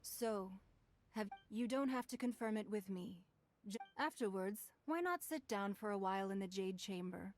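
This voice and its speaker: A woman voicing a poised, shrewd aristocratic stateswoman in a video game, with a measured, formal and gracious manner. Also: mid-range voice, natural voice. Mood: indulgent. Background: game music.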